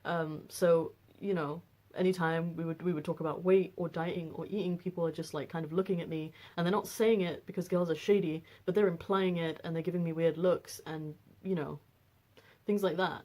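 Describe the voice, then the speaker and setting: A young woman in her twenties with a notably low, deep voice. She talks to camera in a loose, rambling way, full of ums, restarts and asides, as if chatting at home. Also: steady tone, a little fast, warm tone. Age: 20s. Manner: rambling, frequent fillers and restarts. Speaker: vlogger. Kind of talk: casual personal vlog.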